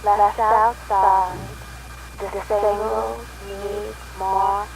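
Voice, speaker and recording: A woman's voice: mid-range voice, little pitch movement, extremely slow, creaky, noisy background. An actress playing a lost, dreamy woman who speaks in cryptic, disjointed phrases in a live radio drama. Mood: dazed, cryptic.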